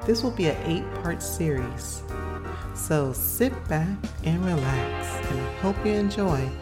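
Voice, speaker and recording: A woman's voice: low voice, animated, slow pace. A woman hosting a podcast, reading a scripted introduction. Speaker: podcast host. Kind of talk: scripted narration. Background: music.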